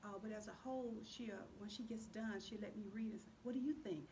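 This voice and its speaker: A woman's voice: mid-range voice, fairly quick, mellow. A woman answering interview questions as a guest in casual, conversational talk. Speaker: assistant director, interview guest. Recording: noisy room ambience.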